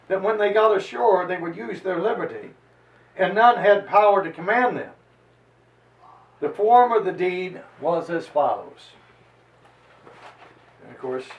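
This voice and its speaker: A man giving a solemn, theatrical dramatized reading of a historical narrative, declaiming like a preacher with dramatic pauses and swells. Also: sits high, lo-fi sound. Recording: roomy echo, distant microphone.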